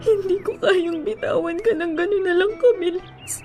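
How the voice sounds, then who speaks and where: A woman's voice: very high voice, normal speed, a little mellow. An actress playing a heartbroken, clinging young ex-girlfriend with a Filipino accent in an emotional, pleading radio drama style, mixing in English. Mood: tearful pleading. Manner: emotional, pleading, switches between Tagalog and English. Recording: music bed under the dialogue. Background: music.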